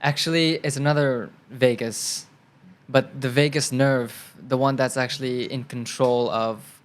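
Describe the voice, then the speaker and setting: Relaxed young man, Thai native speaker. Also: medium pitch, measured pace. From Thailand. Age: young adult. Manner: relaxed and chatty, thinks aloud with many fillers. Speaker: guest actor. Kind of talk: casual interview answers.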